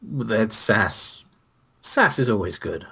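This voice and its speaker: A man's voice: lowish voice, big pitch swings, takes it slowly, gravelly, pushed and bright, slightly rough. A man chatting casually as a guest in a game video, joking and repeating words as he goes. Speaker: guest co-player in a let's play.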